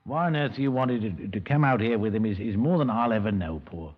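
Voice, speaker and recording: A husky police sergeant grumbles wearily as if worn out. His delivery is animated, in 1950s radio drama style, on a dull recording with long reverb. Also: on the low side, fairly quick. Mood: grumbling. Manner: complaining, groaning. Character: weary, grumbling, footsore male police sergeant.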